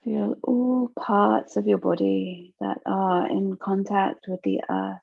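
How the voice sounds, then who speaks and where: A woman leads a guided relaxation as a yoga nidra teacher. She speaks very slowly and gently, leaving long pauses between soothing instructions. Her voice has a creaky, gravelly edge, and the recording is dry and a little dull. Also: medium pitch, dry recording.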